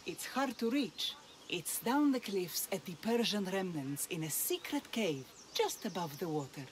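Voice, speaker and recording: An actress playing a young, self-doubting blacksmith's daughter in a video game, wry and hesitant. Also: female voice, mid-range voice, sing-song, a little slow, a little hiss or hum. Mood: explaining. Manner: wry, hesitant, grumbling, sighing. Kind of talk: video game cutscene acting.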